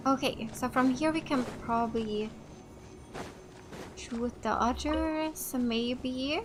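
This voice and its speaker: A woman giving casual, expressive, exclamatory let's play commentary. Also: sits high, takes it slowly, background noise. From Finland. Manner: expressive, exclamatory, reading aloud and reacting. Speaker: gaming streamer. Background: game music.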